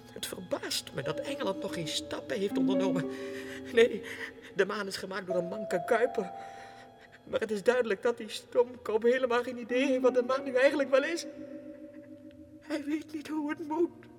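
An actor playing a deranged clerk who believes he is a king, in an erratic radio drama monologue that swings between breathy hushed confiding and agitated outbursts. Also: very high voice, normal speed, music in the background. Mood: frantic, wailing. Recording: reverberant 1960s radio studio recording.

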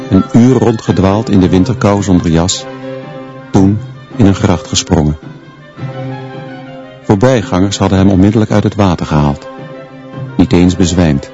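A man's voice: deep voice, mellow, lo-fi sound. An actor playing a sober male teacher who narrates a radio drama in terse, short phrases. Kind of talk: scripted first-person radio drama narration.

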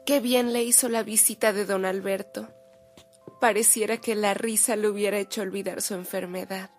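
An actress playing a devout, caring woman in a radio drama, speaking softly as if aside. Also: mid-range voice, steady tone, moderate pace, soft background music, close to an everyday voice. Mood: relieved, prayerful. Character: devout, caring woman worried for a sick bishop.

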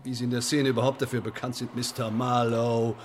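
An actor in a German radio drama playing a pompous, pedantic sheriff who interrogates in stiff officialese. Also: male voice, mid-range voice, level tone, brisk, a little hiss or hum, close to an everyday voice. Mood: officious, accusing.

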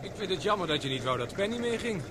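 A man's voice: sits high, sing-song, fast. An actor playing a jealous young man who teases and provokes his rival in a radio play. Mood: needling. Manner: teasing, provocative. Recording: boat engine noise.

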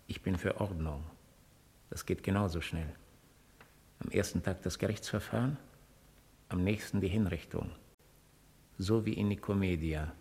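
A man's voice: sits low, normal speed, long pauses, darkish tone. A man acting a stern, coldly pedantic Roman soldier in a 1950s German radio drama, clipped and matter-of-fact. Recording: reverberant 1950s radio studio recording.

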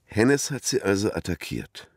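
A patient male investigator in a radio crime drama. His low, rough voice moves up and down in lively fashion as he probes with restrained sympathy. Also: moderate pace, no room sound, clean studio sound. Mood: probing. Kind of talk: naturalistic German radio crime drama acting.

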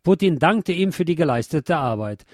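A man presenting a news programme, reading commentary aloud in a measured, formal way. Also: on the high side, animated, darkish tone, dry recording, studio quality.

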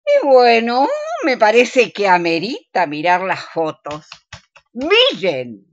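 A woman's voice: mid-range voice, wildly swooping pitch, takes it slowly, lo-fi sound. A woman playing a tipsy, bossy older woman in a comic radio play, exclamatory and theatrical, with a Rioplatense Argentine accent. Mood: excited, bossy.

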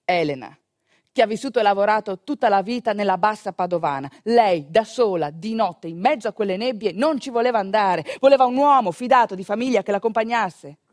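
A woman with a northeastern Italian accent telling a theatrical monologue in an expressive, conversational manner. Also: mid-range voice, brisk, no echo, clean studio sound. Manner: expressive, conversational, with rhetorical questions and asides. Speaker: stage actor and storyteller.

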